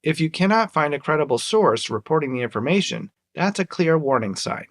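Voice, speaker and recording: A man's voice: fairly high pitch, sing-song, fairly quick, fairly bright tone. A man delivering scripted narration as the host of a short radio segment.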